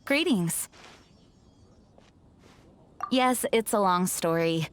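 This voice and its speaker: A woman's voice: lowish voice, lively intonation, very slow, a little hiss or hum. A woman playing a serious, dutiful young knight commander in a video game, formal, composed and courteous. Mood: formal, resolved. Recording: music under the voice.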